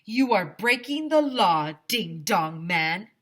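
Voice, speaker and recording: Woman doing a mean barking male principal, slow and forceful. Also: medium pitch, animated. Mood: commanding. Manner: barking, menacing, drawn-out shouting. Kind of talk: children's storybook read-aloud.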